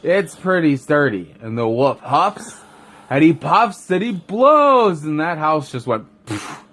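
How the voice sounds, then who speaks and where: A man's voice: fairly high pitch, sing-song, takes it slowly, a bit bright. Man casually rambling through a bedtime story. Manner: rambling, jokey asides. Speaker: father telling a bedtime story.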